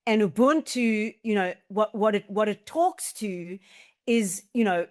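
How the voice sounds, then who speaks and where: A woman's voice: mid-range voice, a little slow, a little mellow. A middle-aged woman with a South African accent, answering interview questions in an emphatic, explanatory, conversational way. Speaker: podcast guest, team-building business leader.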